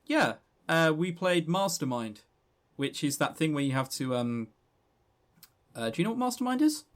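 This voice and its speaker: A man's voice: a little high, big pitch swings, normal speed. A man co-hosting a podcast, chatting casually and unscripted about games in a joking, teasing way. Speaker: podcast co-host.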